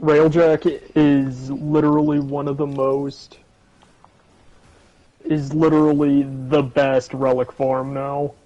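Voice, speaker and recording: A man's voice: medium pitch, level tone, takes it slowly, mellow. A man chatting casually during an online game, blunt, teasing and swearing often, over lo-fi voice chat. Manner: blunt, foul-mouthed, teasing. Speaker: gamer in a co-op session. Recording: dull, lo-fi voice chat audio.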